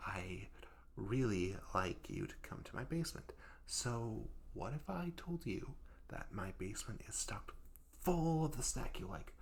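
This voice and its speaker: Man acting a flustered, clingy young yandere guy, creaky voice. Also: medium pitch. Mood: flustered bargaining. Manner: flustered, stammering, pleading, melodramatic. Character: hapless, clingy young male yandere student, sweet and desperate. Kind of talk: comedic audio roleplay addressed to the listener.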